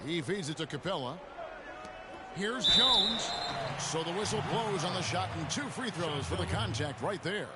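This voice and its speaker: A man's voice: high voice, animated, moderate pace, heavy background noise. An American man calling a basketball game as play-by-play commentator, in a video game broadcast. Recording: loud music and game sounds behind. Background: music, bouncing basketball, arena sounds.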